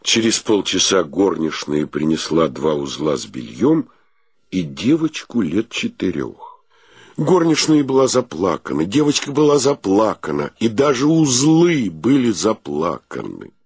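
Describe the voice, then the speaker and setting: An actor narrating a comic radio play as a wry male storyteller with a gravelly voice and a measured, dryly ironic delivery. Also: low-pitched, big pitch swings, a little mellow, no echo, clean studio sound, heavily acted.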